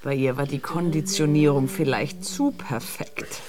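Slow, bitter, languid wife. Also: female voice, low voice, steady tone, a loud crowd. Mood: bitter, resigned. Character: bitter, drinking wife and mother, weary and sardonic. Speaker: actor in a radio drama. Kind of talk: German radio drama acting.

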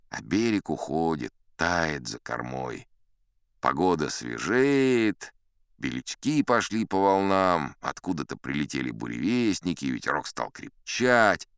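An old sea captain spinning tall tales for an audiobook, in a very low voice. He is boastful and unflappable, smooth and resonant, savouring the story with wide swings of pitch. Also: a bit bright, no room sound, clean studio sound, full character voice.

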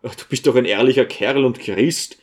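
A man voicing a stern, impatient, pious provincial priest in an audiobook, with a gruff, scolding manner. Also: mid-range voice, normal speed, natural voice.

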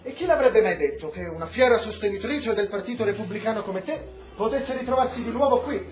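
A woman playing a warm, teasing Cuban man, an old flame, in a radio drama. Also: mid-range voice, expressive, a little fast, close to an everyday voice. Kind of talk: stage-style radio drama acting. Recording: echoey room, music behind.

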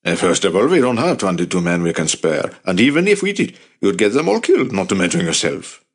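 A man voicing a skeptical, indignant male resistance commander in an audiobook, objecting forcefully and with disbelief. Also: low voice, big pitch swings, brisk, slightly rough, exaggerated voice. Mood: scornful.

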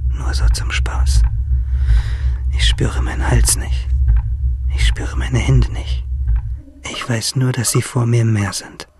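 Man acting exhausted shipwrecked sailor, gravelly and halting. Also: mid-range voice, darkish tone, lightly acted. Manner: halting, rambling, breathy whispers breaking into pleading. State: cold, exhausted. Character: freezing shipwrecked fisherman, delirious, alone at sea, facing death. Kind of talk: radio drama monologue.

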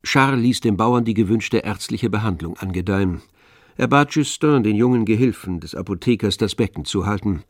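A man's voice: lowish voice, fairly quick, dry recording, clean studio sound. A man narrating a radio drama adapted from a novel in a scripted literary style.

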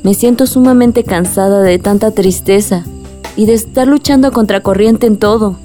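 A mellow female voice from an actress plays a weary, earnest sweetheart. She is measured and firm at a middle pitch, radio drama with soft music behind. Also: lightly acted.

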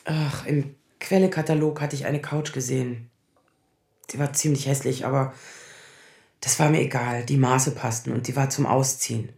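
A woman's voice: very deep voice, fairly even intonation, normal speed, vocal fry, a little mellow. Roomy-sounding, sardonic woman in her thirties. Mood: wry, confessional. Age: 30s. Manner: sardonic, confessional. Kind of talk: naturalistic German radio drama acting.

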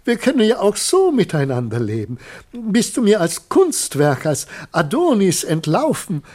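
A man's voice: high-pitched, wildly swooping pitch, a little slow, a little mellow, heavily acted. An actor in a German radio play, playing a rapturous, deluded man who effusively pleads with the creature he believes he made. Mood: pleading. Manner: effusive, theatrical, solemn, pleading. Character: rapturous, deluded man claiming his runaway scarecrow as creation.

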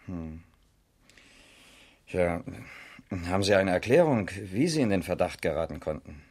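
A by-the-book police investigator in a radio crime play. The voice is low, the delivery dry and official, with a grumbling undertone of duty. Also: male voice, sing-song, a little slow, darkish tone, exaggerated voice. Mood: formal, dry. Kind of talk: naturalistic 1970s German radio crime drama acting.

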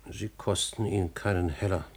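An actor playing an old, grieving manservant in a classical German radio drama, weary, restrained and bitterly ironic. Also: male voice, low voice, fairly even intonation, moderate pace, a little mellow, breathy edge. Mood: weary, formal.

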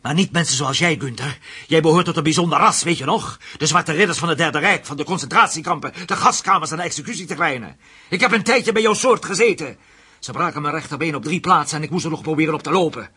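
A man's voice: on the high side, quick pace, sharp tone, husky, full character voice. A man acting in a radio play as a hard, vengeful investigator, menacing and sardonic as he interrogates. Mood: bitter, mocking. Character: hard, vengeful male investigator, survivor of Nazi camps.

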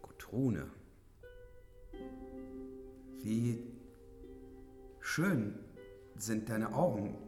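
An actor playing a bold, open-hearted young hero in a fantasy radio drama, with an earnest, heroic manner. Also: male voice, on the low side, expressive, very slow, darkish tone, long reverb tail, soft background music. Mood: smitten.

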